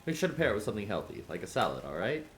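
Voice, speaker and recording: A man's voice: fairly low pitch, sing-song, rapid-fire, a bit bright, soft background music. A man doing casual live gameplay commentary as a streamer, offhand and playful. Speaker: game streamer.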